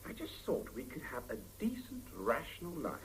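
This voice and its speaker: An actor playing a well-meaning but helpless, self-absorbed young husband in a 1970s radio drama, hesitant and wheedling. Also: male voice, on the high side, huge pitch swings, moderate pace, gravelly, heavily acted, poor audio. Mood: wistful. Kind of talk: 1970s radio drama, naturalistic domestic dialogue. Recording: hissy 1975 radio drama cassette, mono.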